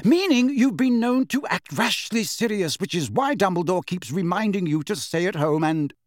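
A man's voice: high voice, sing-song, normal speed, no echo, studio quality, pitched above the performer's own voice, exaggerated voice. A man voicing a fierce, anxious middle-aged mother in a storybook, indignant and scolding.

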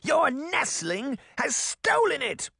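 A man's voice: very high-pitched, huge pitch swings, pushed and bright, no echo, studio quality, pitched above the performer's own voice, heavily acted. Man putting on a shrieking, furious old witch. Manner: screaming, drawn-out menacing accusations. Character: enraged shrieking old witch, menacing hag.

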